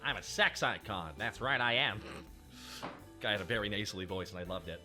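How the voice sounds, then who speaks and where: A man's voice: lowish voice, lively intonation, fairly quick, bright, forceful tone, soft background music. A man streaming as a VTuber, bantering casually with a co-host and slipping into silly accent impressions.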